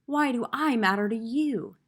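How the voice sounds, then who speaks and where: A woman's voice: fairly high pitch, normal speed, slightly rough, pitched above the performer's own voice. Storybook wooden puppet, little male played by female reader: timid, questioning, self-doubting. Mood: timid, pleading.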